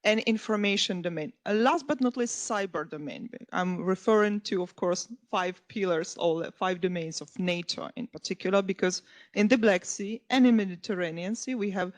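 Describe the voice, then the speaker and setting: A woman's voice: medium pitch, normal speed. A woman historian speaking on a conference panel, earnest and often correcting herself mid-phrase. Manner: earnest, restarts and self-corrections.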